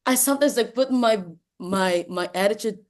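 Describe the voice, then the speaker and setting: A woman's voice: medium pitch, moderate pace. A woman from the Philippines chatting as a teacher on a video call, dropping in "like" all the time. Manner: chatty, many "like" fillers. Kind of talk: casual chat on a video call.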